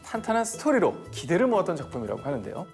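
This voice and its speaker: A man co-hosting a film show as critic, giving informative commentary in a steady reading style. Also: high-pitched, very fast. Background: background music.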